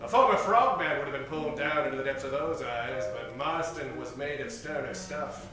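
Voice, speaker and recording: A man's voice: fairly high pitch, normal speed. A man hosting and narrating a radio comedy show in a grandiose, dramatic old-time announcer style. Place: live stage performance, roomy. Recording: echoey stage from far off, music behind.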